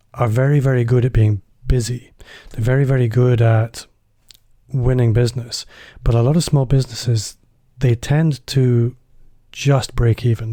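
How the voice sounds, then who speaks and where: Mellow-voiced male podcast host. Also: mid-range voice, dry recording, studio quality. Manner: chatty, self-deprecating, loose phrasing. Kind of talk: podcast interview.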